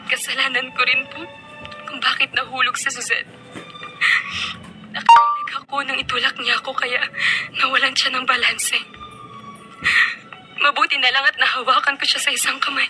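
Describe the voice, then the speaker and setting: A kind, solicitous young woman speaks with polite earnestness in Filipino radio-drama style. Her pitch is high and music plays clearly underneath. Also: flat delivery, normal speed, long pauses, bright, forceful tone, low-quality recording. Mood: guilty. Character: kind, respectful young woman, anxious and self-blaming.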